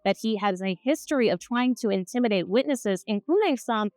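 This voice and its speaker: A woman's voice: a little high, animated, brisk, a little mellow, no echo, clean studio sound. A woman giving news reporting and analysis as a commentator.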